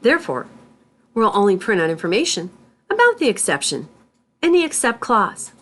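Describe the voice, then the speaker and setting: A woman narrating a scripted tutorial as an instructor, in a deliberate, instructional manner. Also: mid-range voice, wildly swooping pitch. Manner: deliberate, instructional, spells out terms letter by letter. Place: voice-over for a screen recording.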